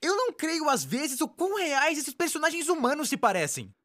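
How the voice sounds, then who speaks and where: A woman with a Brazilian accent voicing an excitable, wry cartoonist narrator in a dubbed comic explainer, informal and exaggerated in delivery. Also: high voice, normal speed. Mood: wry, enthusiastic. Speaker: narrator of an animated explainer video.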